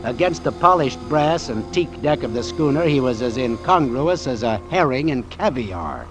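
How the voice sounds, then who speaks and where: An actor playing a brisk, authoritative ship's doctor in an old-time radio drama, matter-of-fact and clipped. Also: male voice, mid-range voice, expressive, faint music behind, lightly acted. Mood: measured, wry. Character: brisk, authoritative, dry-witted male ship's doctor, narrating.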